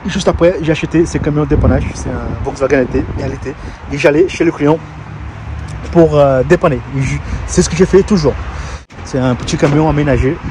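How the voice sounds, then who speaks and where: A man's voice: a little high, brisk. A man from Brazil with a Brazilian Portuguese accent, a heavy-truck mechanic telling his story in a casual vlog, hesitant with many fillers and restarts. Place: outdoors at a truck yard and garage. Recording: outdoor street noise behind.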